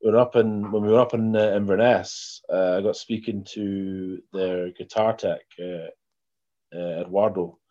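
A man chatting casually in a hesitant, halting way. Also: low-pitched, fairly even intonation, warm tone. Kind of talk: casual chat. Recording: dull, muffled lo-fi sound.

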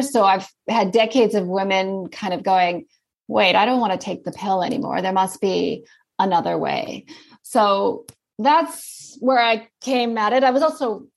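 A middle-aged woman from Canada with a Canadian accent, a guest expert explaining things steadily in a podcast interview. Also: medium pitch.

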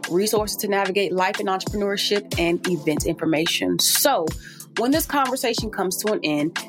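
A woman hosting a podcast, chatting with enthusiasm and laughing often. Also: mid-range voice. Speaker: podcast host. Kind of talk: upbeat podcast chat.

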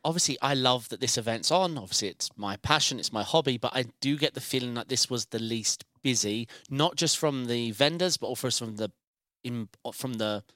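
A man's voice: mid-range voice, normal speed, pushed and bright, no room sound, studio quality. A British man co-hosting a racing podcast, chatty and rambling, often correcting himself mid-sentence. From Britain. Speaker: podcast co-host. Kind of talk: casual podcast chat. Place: studio.

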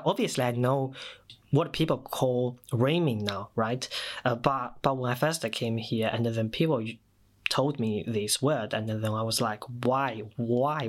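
A man in his thirties from China, Mandarin native, with a Chinese accent, telling personal stories as a podcast guest with many pauses and fillers. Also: medium pitch. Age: 30s. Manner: thoughtful, many "uh" fillers and restarts. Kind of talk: personal storytelling.

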